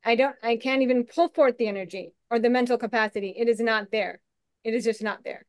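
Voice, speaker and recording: A woman speaking candidly on a video call, her voice soft and a little shaky, with frequent restarts. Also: fairly high pitch, brisk, no room sound, clean studio sound. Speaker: webinar participant. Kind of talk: candid conversational sharing.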